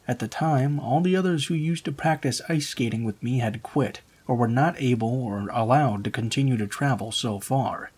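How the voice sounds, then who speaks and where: A man narrating true horror stories in a steady, even storytelling read. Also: mid-range voice, slightly rough.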